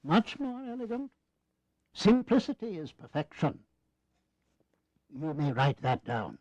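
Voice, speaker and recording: Genial, fussy elder statesman, slow, hesitant, very animated, for historical audio drama. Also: a little high, no echo, rough recording. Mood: amused, approving. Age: older. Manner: hesitant, drawn-out, gently pedantic.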